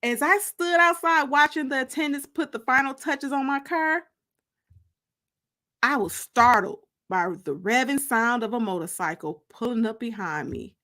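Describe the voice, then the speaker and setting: A woman giving an expressive first-person dramatic audiobook reading, with theatrical pauses. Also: high-pitched. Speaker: author reading her own novel.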